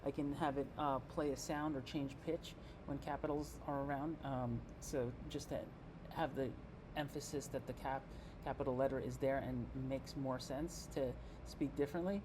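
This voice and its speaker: Man giving a halting demo, noisy recording. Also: mid-range voice, steady tone. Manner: halting, explanatory, thinking aloud. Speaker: presenter giving a software demo. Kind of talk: informal screen-reader tutorial narration.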